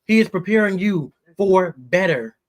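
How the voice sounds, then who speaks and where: A man's voice: sits high, animated, measured pace, low-quality recording. A man speaking as an interview guest in motivational faith talk, exhorting and repeating phrases for emphasis.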